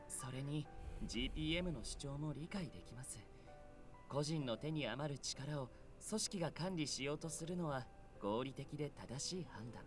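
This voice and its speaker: Courteous, rational anime man, acted by a man, formal and fast, with music playing behind. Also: a little high, lightly acted. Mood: calm, reasoning. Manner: formal, measured reasoning. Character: polite, logical, composed male anime game character. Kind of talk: Japanese visual novel voice acting. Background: game music.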